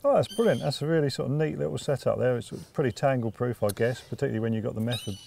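A presenter in his thirties interviewing an angler outdoors, keeping up a steady "yeah" as he listens. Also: medium pitch, very fast, creaky, a little hiss or hum. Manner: agreeable, constant "yeah" backchannel. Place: lakeside outdoors, seated.

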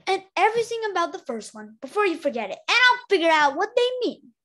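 A curious female dragon read by a woman, high in pitch and gently persistent. She coaxes and questions eagerly, as a storybook sidekick. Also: big pitch swings, fast, heavily acted. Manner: inquisitive, coaxing.

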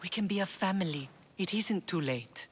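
A woman's voice: fairly low pitch, expressive, normal speed, slight background noise, lightly acted. An actress playing a middle-aged Greek mother in a video game, earnest, yearning and solemn. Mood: pleading, solemn. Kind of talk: video game cutscene acting.

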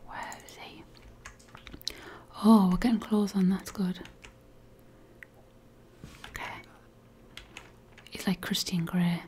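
A woman's voice: mid-range voice, fairly even intonation, takes it slowly, long pauses, mellow. A woman whispering playful, bossy commentary while playing a life-sim game. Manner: whispering, wry and bossy toward game characters. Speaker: gamer.

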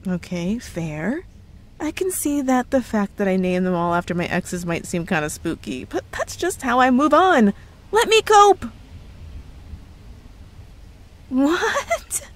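A woman's voice: on the high side, sing-song, a little slow. A woman playing a cheerful, evasive young girlfriend who is secretly a witch, in a playful ASMR roleplay with feigned innocence. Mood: flustered denial. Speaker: audio roleplay voice actress. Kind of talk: ASMR roleplay, scripted one-sided dialogue.

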